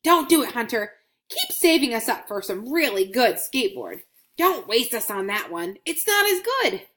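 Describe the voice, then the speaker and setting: An excitable little coin from a children's storybook, acted out by a woman. The voice is very high and swoops about in lively, bubbly exclamations. It is chatty and full of curiosity. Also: moderate pace. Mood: urgent.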